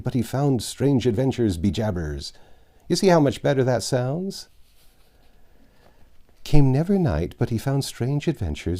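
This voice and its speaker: Expressive man reading a classic novel. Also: mid-range voice. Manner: expressive, wry asides, occasional self-corrections. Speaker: narrator.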